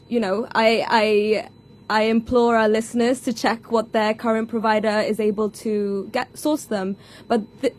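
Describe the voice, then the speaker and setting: A woman's voice: mid-range voice, darkish tone, slight background noise. A woman giving conversational, explanatory answers as an interview guest, often checking in with a short tag question. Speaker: company growth associate, interview guest.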